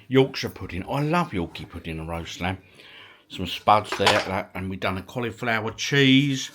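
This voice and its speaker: A middle-aged man hosts a casual vlog in a mid-range voice. His intonation swings up and down in a very animated way as he rambles chattily to viewers in British slang. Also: medium pitch, slight background noise. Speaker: vlogger and retro gaming channel host.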